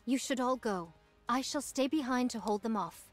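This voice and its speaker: Woman playing a boastful android warrior, theatrical old-fashioned diction, higher female voice, anime game, music underneath. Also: moderate pace, close to an everyday voice. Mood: proud, defiant. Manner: grandiose archaic phrasing.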